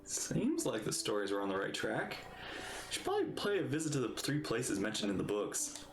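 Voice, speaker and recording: A man giving casual live commentary as he plays a game, musing aloud in a relaxed streamer's way. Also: fairly low pitch, moderate pace. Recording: roomy echo, game music behind. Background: video game music.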